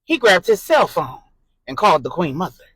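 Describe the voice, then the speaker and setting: A woman narrating an adult drama story in an expressive, suspenseful way and voicing the characters' dialogue. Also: on the low side, moderate pace, breathy edge, no room sound.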